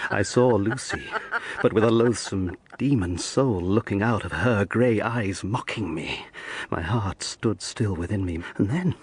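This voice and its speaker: Low-voiced, earnest young lawyer. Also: male voice, a little hiss or hum. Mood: awed. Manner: gentle, dramatic, literary narrating. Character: earnest, sensitive young lawyer telling his story. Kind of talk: audio drama narration and acting.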